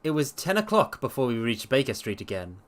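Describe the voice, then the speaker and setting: A man streaming a dramatic story reading, with theatrical, expressive delivery and casual asides. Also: mid-range voice, brisk. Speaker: streamer and reader.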